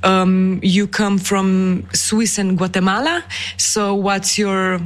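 A woman hosts a radio interview in English. Her pitch is middling and her pace is slow, with pauses as she forms each conversational question.

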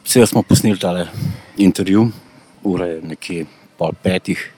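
A man interviewing a guest for a radio station, speaking English as a second language after an intro in his native Slovenian, asking his questions haltingly. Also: low voice, mellow, slightly rough.

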